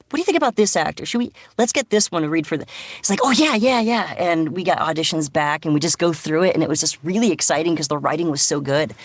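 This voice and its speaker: A woman voice actor chatting casually and excitedly on a panel, slipping into quoted reactions in an informal way. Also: low voice, dry recording, clean studio sound. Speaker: voice actor and casting helper.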